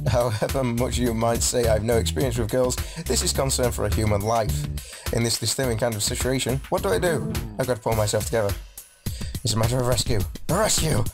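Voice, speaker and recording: Man reading a visual novel aloud over music. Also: medium pitch. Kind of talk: dramatic read-aloud narration with casual ad-libbed asides. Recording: game music behind the voice.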